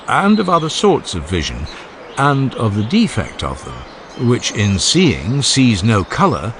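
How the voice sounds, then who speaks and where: A man's voice: mid-range voice, slight background noise. A man reading the part of an earnest, genial ancient philosopher who questions relentlessly, step by step, in a dramatized audiobook dialogue. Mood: questioning, emphatic. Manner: rhetorical, emphatic, step-by-step questioning.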